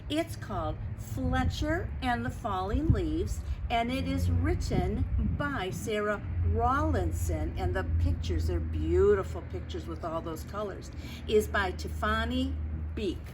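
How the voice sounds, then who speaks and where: A woman reads a children's picture book at an unhurried, slow pace. She is expressive and explains things directly to young listeners. The recording has clear background noise. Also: mid-range voice. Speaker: storytime reader. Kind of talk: children's picture-book read-aloud with asides.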